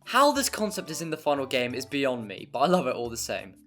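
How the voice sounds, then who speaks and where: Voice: medium pitch, sing-song, a little fast, fairly bright tone. A narrator reading a scripted video game review in a wry, mildly sweary, hyperbolic way. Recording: music playing behind. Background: background music.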